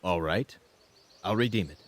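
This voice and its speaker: An actor playing a cautious, self-protective ancient townsman in a dramatized scripture audiobook, with a blunt, expressive delivery. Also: sits low, moderate pace. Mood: agreeable.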